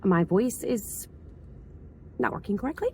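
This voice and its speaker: A woman with a hoarse voice from a cold, chatting in a casual, rambling vlog as she circles back to restate things. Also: medium pitch, sing-song, measured pace, dark tone, slight background noise. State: hoarse from a cold, slight sore throat. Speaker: vlogger, school staff member.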